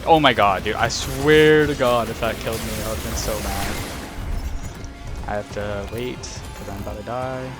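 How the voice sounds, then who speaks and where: A man's voice: on the low side, normal speed. A young man giving casual, rambling commentary while playing a game, swearing and saying "dude" often. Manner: casual, swears, says "dude" a lot, talks to himself. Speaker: gaming video creator. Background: loud game music.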